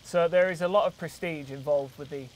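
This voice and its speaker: A man's voice: on the high side, steady tone, fairly quick, darkish tone, slight background noise, low-quality recording. A man presenting a local TV documentary, interviewing guests with upbeat, leading questions.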